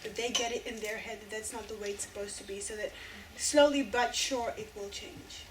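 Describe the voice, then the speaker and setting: A woman's voice: medium pitch, steady tone, normal speed. A woman in the audience making a casual, filler-heavy comment. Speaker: audience member. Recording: distant mic, noisy room.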